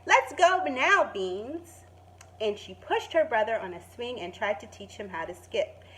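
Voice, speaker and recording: A woman teacher reading a children's story expressively, stretching words for emphasis and pausing to put questions to the listeners. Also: medium pitch, animated. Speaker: primary school teacher reading to pupils. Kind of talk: expressive children's story read-aloud with asides.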